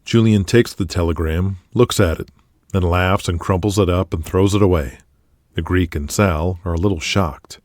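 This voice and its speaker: A man narrating an audio drama in a steady, matter-of-fact way. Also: low-pitched, normal speed, no echo, studio quality. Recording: music bed under the voice.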